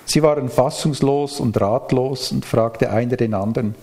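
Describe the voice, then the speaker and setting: A man speaks as a preacher in a medium-pitched voice. He delivers a sermon, moving through scripture with a measured, explanatory manner. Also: warm tone. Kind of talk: sermon with Bible reading and explanation.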